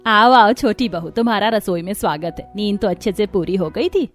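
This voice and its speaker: A woman's voice: mid-range voice, brisk, faint music behind, natural voice. A woman voicing a middle-aged, food-loving mother-in-law in a family cartoon, chatty and full of instructions. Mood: welcoming.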